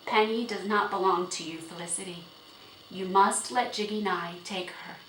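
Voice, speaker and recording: A woman voicing a firm, principled middle-aged father in an audiobook, with formal, deliberate speech. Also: mid-range voice, steady tone, fairly bright tone, reverberant, slight background noise, natural voice, low-quality recording. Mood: stern, gentle. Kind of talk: audiobook character acting.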